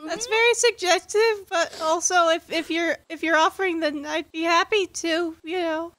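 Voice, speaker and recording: A woman's voice: steady tone, moderate pace, no room sound. A woman voicing a meek, awkward young male hedgehog in an improvised comedy fandub, whiny, hesitant and over-earnest. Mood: sheepish. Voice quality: squeaky, whiny. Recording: loud music behind the voice. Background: game cutscene music.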